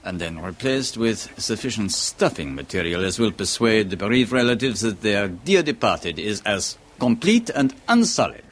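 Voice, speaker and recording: A doctor of the morgue in a horror radio play. His voice sits fairly low and moves in wide, theatrical swings. He explains grim matters formally and with deliberate, self-satisfied precision. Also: sing-song. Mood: grimly matter-of-fact. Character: French male pathologist, formal, grimly precise, self-satisfied. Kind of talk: 1970s radio horror drama acting.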